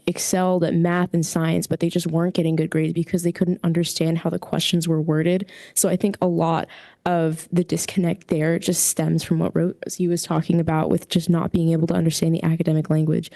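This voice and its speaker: A woman serving as a student trustee, giving steady explanatory remarks at a board meeting. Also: low-pitched. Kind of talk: prepared public comment. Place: district office board room.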